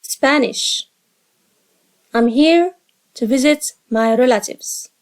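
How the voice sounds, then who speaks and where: Slow, high-voiced woman teaching English. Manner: slow, carefully spaced drill reading. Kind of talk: repeat-after-me dialogue drill.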